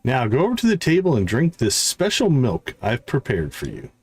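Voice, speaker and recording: A man voicing a mysterious, smug video game character in a measured, teasing, theatrical way. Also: mid-range voice, huge pitch swings, darkish tone, slight background noise. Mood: encouraging. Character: mysterious, smug male game character praising a kid adventurer. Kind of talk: reading video game dialogue aloud.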